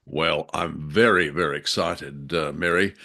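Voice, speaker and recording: A man's voice: extremely low pitch, a little slow, pushed and bright. A man with an Australian accent hosting with dry, tongue-in-cheek introductory banter. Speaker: podcast host.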